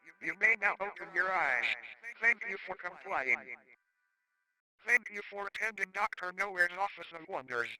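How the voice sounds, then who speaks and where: A man playing a formal, impersonal announcer for an eerie office of wonders in a horror video, reading a measured scripted announcement. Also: high voice, animated, pushed and bright, exaggerated voice, poor audio. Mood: detached, polite.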